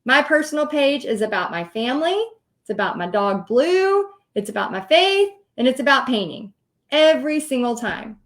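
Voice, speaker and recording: Middle-aged woman, Texas accent, high voice, chatty, informal online teaching, storytelling asides. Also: very animated, normal speed, fairly bright tone. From Texas, United States. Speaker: host and paint party teacher. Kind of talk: informal online business coaching talk.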